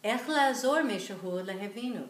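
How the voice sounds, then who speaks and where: Fast-talking woman in an echoey room. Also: medium pitch, animated. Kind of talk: reading a statement.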